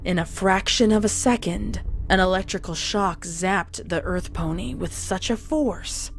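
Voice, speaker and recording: A woman's voice: medium pitch, a little slow, crowd noise. A woman reading scripted fan fiction as a dramatic story narrator.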